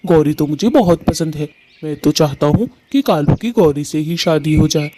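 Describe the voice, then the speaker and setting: A man's voice: on the high side, moderate pace, background noise, close to an everyday voice. A man voicing an earnest, devoted male bird in a Hindi family cartoon, speaking affectionately. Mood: surprised.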